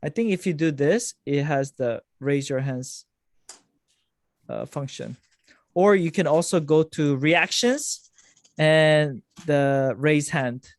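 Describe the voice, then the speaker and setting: A man's voice: fairly high pitch, takes it slowly, no room sound. A male producer teaching a masterclass over a video call, talking things through with plenty of "like" and a few restarts. His voice is dry and close, with no room sound. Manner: explains with many likes and restarts. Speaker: music producer hosting a masterclass.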